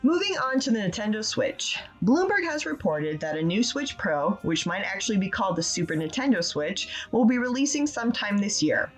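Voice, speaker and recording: A woman's voice: mid-range voice, very animated, normal speed, few pauses, soft background music, rough recording. A woman presenting scripted video game news as a regular segment contributor on a casual show.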